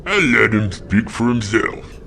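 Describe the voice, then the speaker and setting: A man's voice: lowish voice, expressive, unhurried, gravelly, bright, forceful tone, slight background noise, heavily acted. A man voicing a brusque, officious alien police sergeant in a comedy audio drama. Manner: officious, curt.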